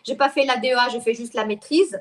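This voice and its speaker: High-voiced woman. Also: quick pace, slight background noise. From Ukraine. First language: Russian. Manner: talkative, many restarts and fillers. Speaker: guest expert. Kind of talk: interview conversation.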